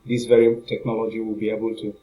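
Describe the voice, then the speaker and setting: A man giving an informal, halting and repetitive screen walkthrough as a product reviewer. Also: on the low side, completely flat delivery, dark tone, poor audio. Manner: halting, repetitive, rambling narration with filler phrases.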